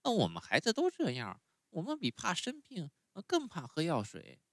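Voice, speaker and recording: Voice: medium pitch, huge pitch swings, moderate pace, a bit bright, dry recording, studio quality, pitched above the performer's own voice. A storyteller voicing a stubborn, whining wooden puppet boy who is sick in bed. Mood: chastened. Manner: whining, pleading, wailing.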